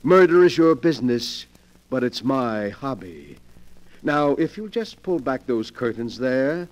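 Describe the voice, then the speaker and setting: An actor playing a sly, wheelchair-bound rich collector of murder relics in a pompous, insinuating 1940s radio drama style. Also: male voice, medium pitch, sing-song, unhurried, poor audio. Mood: proud. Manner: pompous, insinuating, chuckling menace.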